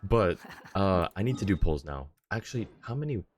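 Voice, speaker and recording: A man's voice: deep voice, expressive, moderate pace, slight background noise. A man talking casually on a live gaming stream, rambling and thinking aloud as he goes. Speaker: game livestreamer.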